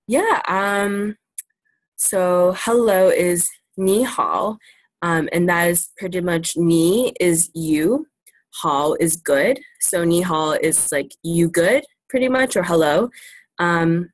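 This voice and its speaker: A woman's voice: lowish voice, slow, no echo, low-quality recording. A young woman presenting to students over a video call, talking casually with lots of ums and likes. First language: English. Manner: easygoing, many ums and likes. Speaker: student presenter teaching about China. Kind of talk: casual virtual classroom Q&A. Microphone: laptop webcam video call.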